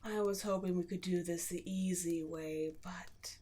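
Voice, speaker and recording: A woman playing an imperious, seductive demon queen in a roleplay audio drama, slow and theatrical, often slipping into a breathy whisper. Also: lowish voice, fairly even intonation, very noisy, close to an everyday voice. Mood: amused, disappointed.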